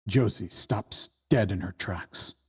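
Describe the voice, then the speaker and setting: Husky, lowish-voiced man narrating a screenplay. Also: slow. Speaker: narrator of stage directions. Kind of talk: scripted screenplay narration at a table read.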